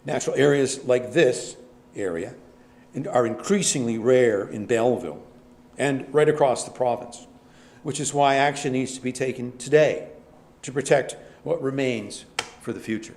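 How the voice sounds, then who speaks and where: A man giving a formal, measured presentation to a council committee from a podium microphone. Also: medium pitch, darkish tone. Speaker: public delegate presenting. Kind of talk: formal prepared submission. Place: council chamber.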